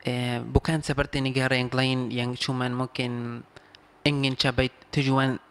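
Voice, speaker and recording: A young man from Palestine with a Palestinian Arabic accent, answering interview questions in careful, halting second-language phrasing. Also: mid-range voice, flat delivery, fairly bright tone, smooth. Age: young adult. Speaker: interview guest, medical student.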